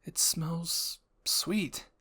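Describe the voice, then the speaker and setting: An earnest young male soldier from an anime fan story, acted out by a man. His voice sits higher and sounds alert. He speaks kindly and with curiosity, like someone working things out with a comrade. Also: very slow, bright, forceful tone, slightly rough, heavily acted. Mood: puzzled.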